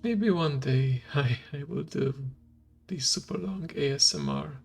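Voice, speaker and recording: A man whose first language is Hungarian, streaming as a VTuber in a soft ASMR style, speaking slowly and quietly and slipping into a whisper. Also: mid-range voice, wildly swooping pitch. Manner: slow, hushed and gravelly, drifting into whisper. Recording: faint background music.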